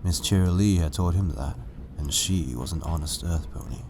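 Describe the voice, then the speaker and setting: A man's voice: low voice, normal speed, noisy background. A man narrating a story as a scripted reading. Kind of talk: scripted fan fiction narration.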